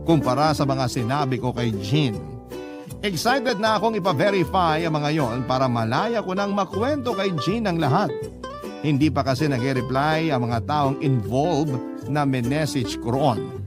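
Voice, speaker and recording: A man narrates his own story in a confiding first-person tone, as if telling it to a radio host. His voice sits a little high. Guitar music plays clearly underneath the whole time. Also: lively intonation, a little slow. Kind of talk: scripted radio drama narration.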